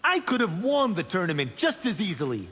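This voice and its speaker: An actor playing a boastful, brash young warrior monk in video game banter. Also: male voice, sits high, huge pitch swings, brisk, pushed and bright, heavily acted. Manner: proud, brash, self-assured. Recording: long hall reverb, clear background noise.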